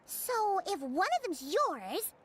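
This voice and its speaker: A woman voicing a small, excitable, childlike fairy companion in an anime game, squeaky and chatty. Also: slow, noisy background, heavily acted. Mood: excited. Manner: chatty, exclaiming, refers to self in third person. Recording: music behind the voice.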